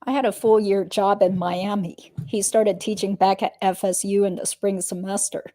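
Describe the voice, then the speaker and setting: Mellow, measured woman witness, acted by a reader. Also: mid-range voice, fairly even intonation, natural voice. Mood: guarded, factual. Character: guarded, matter-of-fact woman witness under questioning. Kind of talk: dramatic reading of a deposition.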